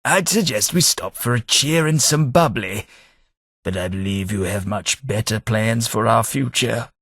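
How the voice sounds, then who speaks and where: An actor playing a formal, polite, long-lived London gentleman in a game, speaking with measured diction. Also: on the low side, breathy edge, no echo, clean studio sound, heavily acted. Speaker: game companion. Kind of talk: game voice acting.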